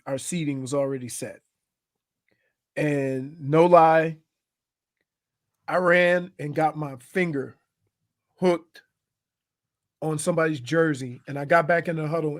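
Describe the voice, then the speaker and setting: A man co-hosting sports radio, a former football player telling animated stories. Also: a little high. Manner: storytelling, animated punchlines. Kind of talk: casual sports talk. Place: radio studio. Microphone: studio mic.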